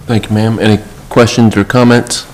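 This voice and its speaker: A man with a lowish voice runs a school board meeting in short, courteous remarks. Behind him there is a faint hiss. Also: flat delivery, moderate pace. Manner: brief, courteous and procedural. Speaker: board chair running the meeting. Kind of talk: procedural meeting chairing.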